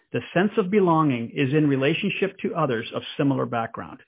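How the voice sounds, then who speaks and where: Male host, measured narrator, slightly raspy, lo-fi phone-band sound. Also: on the high side. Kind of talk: scripted narration.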